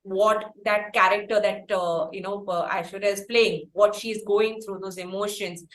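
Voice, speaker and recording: An Indian woman hosting a casual chat interview, speaking effusively in long, halting, wordy phrases. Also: medium pitch, fairly even intonation. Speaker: podcast host interviewing a singer. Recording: roomy echo, faint background noise.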